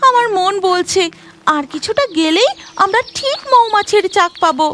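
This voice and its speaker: Woman acting extremely high-pitched village wife. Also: lively intonation, moderate pace, bright, forceful tone, slight background noise, exaggerated voice. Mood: coaxing. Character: honey collector's wife, anxious, coaxing village woman. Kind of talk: dramatic cartoon dialogue.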